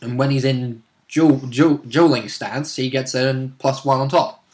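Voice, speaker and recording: A man's voice: medium pitch, steady tone, measured pace, a little mellow. A man chatting casually as a tabletop player, explaining and correcting details as he goes. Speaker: tabletop role-playing player. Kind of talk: casual chat.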